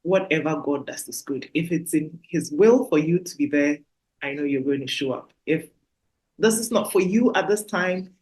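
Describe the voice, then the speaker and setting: A woman's voice: low voice, moderate pace, a little mellow, rough recording. A woman hosting a podcast, speaking in a gushing, heartfelt, faith-filled way to her listeners. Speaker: podcast host. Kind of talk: effusive interview chat.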